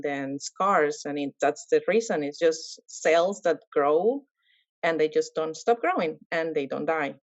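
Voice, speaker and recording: A woman from Colombia, a medical scientist, answering interview questions in a lively way, with many fillers and restarts. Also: low voice, no echo, muffled recording.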